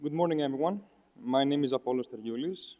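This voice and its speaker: A man's voice: mid-range voice, quick pace, dark tone. A man, giving a formal prepared speech as a student. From Greece. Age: 20s. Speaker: student speaker. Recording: tinny phone-like sound, echoey hall.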